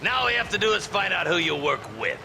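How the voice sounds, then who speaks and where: An actor playing a cruel, foul-mouthed villain in a Western game, taunting and menacing. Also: male voice, high voice, lively intonation, fast, background noise. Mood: menacing, jeering. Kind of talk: video game cutscene acting.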